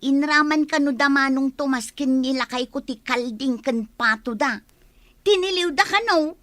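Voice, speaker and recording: A woman's voice: sits high, moderate pace, a bit bright, dry recording. A woman with an Ilocano accent playing a worried, nagging village wife in a radio comedy drama. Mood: anxious, questioning.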